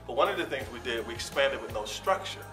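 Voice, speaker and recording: A man, founder of an organization, giving steady, measured interview answers full of figures and dates. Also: medium pitch. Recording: roomy sound from a distance with music underneath.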